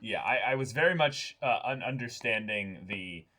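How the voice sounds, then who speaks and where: Man with a bright, casual chatty voice. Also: lowish voice, expressive, moderate pace. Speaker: co-host of an anime reaction show. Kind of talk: casual discussion of a show.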